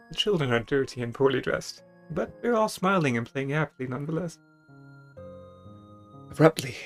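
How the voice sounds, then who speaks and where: A man's voice: mid-range voice, creaky. A man reading a story game's text aloud in a dramatic style, with casual asides and music behind him.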